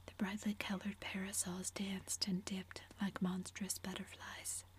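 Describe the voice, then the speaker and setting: A woman narrating a classic novel entirely in a soft, unvoiced whisper. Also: medium pitch, little pitch movement, a little slow. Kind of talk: whispered audiobook reading.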